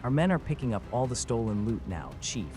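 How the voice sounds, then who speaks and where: A man playing a brisk, urgent character at police headquarters in a comic dub. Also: medium pitch, big pitch swings, close to an everyday voice. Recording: music behind the voice.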